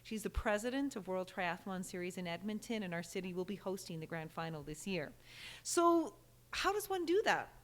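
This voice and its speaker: A woman hosting an interview podcast, enthusiastic and lively, breaking into laughter. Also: medium pitch, moderate pace. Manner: enthusiastic, warm-toned interviewer with bursts of laughter. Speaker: podcast host.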